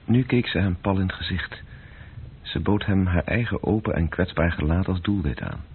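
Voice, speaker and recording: An actor as the grave narrator of a radio play, reading scripted literary prose. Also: male voice, normal speed, a little hiss or hum. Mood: sombre, observant. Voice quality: very husky, deep. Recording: dull, lo-fi old radio recording.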